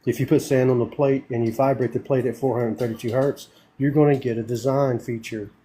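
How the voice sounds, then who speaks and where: A man's voice: mid-range voice, steady tone, brisk, warm tone. A man from Northeast Tennessee with a country East Tennessee accent, talking earnestly and a bit rambling to a group on a casual call. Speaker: community project speaker. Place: at home in South Houston.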